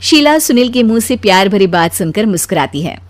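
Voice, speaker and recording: A woman narrating a scripted animated story as its storyteller. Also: medium pitch, fairly quick.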